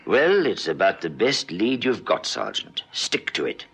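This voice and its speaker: An actor playing a weary, authoritative police brigadier, brusque and musing, in 1960s radio drama style. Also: male voice, fairly low pitch, sing-song, measured pace, fairly bright tone, husky, heavily acted. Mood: commanding, determined.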